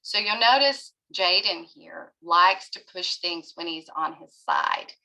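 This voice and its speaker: Middle-aged woman therapist lecturing parents, gravelly, bright voice, keeps saying right?. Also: fairly low pitch, animated, slow pace, poor audio. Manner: explanatory, often asks "right?" to check in. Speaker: pediatric therapist presenting a webinar.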